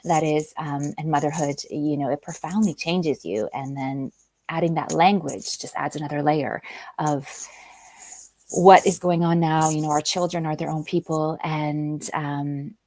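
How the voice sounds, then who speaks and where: A woman speaks low and unhurried, circling her thoughts with soft fillers and restarts. Her voice sits close and dry, with no room around it. She sounds like a guest reflecting aloud in an interview. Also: steady tone, no echo. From Canada. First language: Polish. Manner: thoughtful, many ums and restarts. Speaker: guest author and linguist. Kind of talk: conversational interview.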